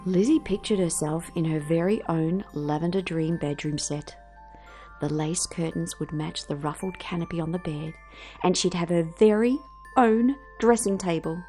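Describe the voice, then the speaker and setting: A woman reading a children's story aloud with theatrical, emphatic, drawn-out phrasing. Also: fairly low pitch, dark tone, faint music behind.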